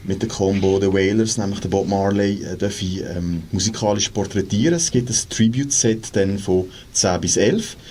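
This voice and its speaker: A man with a Swiss German accent hosts a radio interview, his voice sitting low. He talks hesitantly, with many fillers and restarts. A faint hiss runs under the studio sound. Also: a little mellow. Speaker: radio host.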